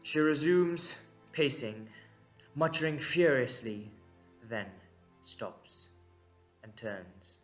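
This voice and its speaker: A man narrating a dramatic story in short, measured, suspenseful phrases. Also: mid-range voice, lively intonation, faint music behind.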